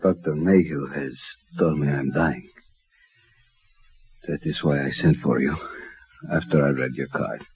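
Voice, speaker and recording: An actor playing a dignified, dying Mexican don in a 1950s radio drama, speaking gravely and formally. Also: male voice, rumbling low, fairly even intonation, measured pace, pauses often, a little hiss or hum. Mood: solemn. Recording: muffled vintage radio recording.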